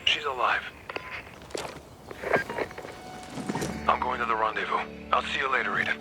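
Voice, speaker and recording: An actor playing a secretive, pragmatic informant in a video game, talking in a guarded, terse way. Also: male voice, mid-range voice, fast, loud music behind the voice, natural voice. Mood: businesslike. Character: secretive, pragmatic male informant fleeing a ruined city. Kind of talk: video game cutscene acting.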